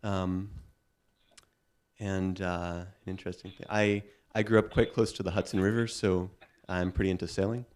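Hesitant, lower-pitched young man. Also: flat delivery, moderate pace, a little mellow. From New York. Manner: hesitant, filler-heavy. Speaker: new graduate student.

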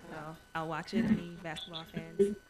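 A woman introducing herself as a new graduate student, relaxed and friendly. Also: deep voice, normal speed, loud music behind the voice. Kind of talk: self-introduction.

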